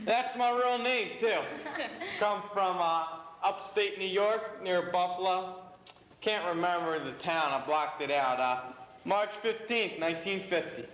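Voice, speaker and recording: A young man voicing an offhand young dancer who drawls through his lines. His voice is high and slow, with the echo of a stage around it. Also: fairly bright tone. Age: young adult. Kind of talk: school stage play. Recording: echoey stage.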